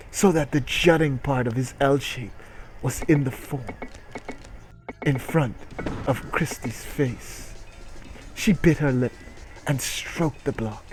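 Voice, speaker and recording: A man giving a mock-dramatic story reading with crude, irreverent comic asides. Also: mid-range voice. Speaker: reader and comedic streamer. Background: music.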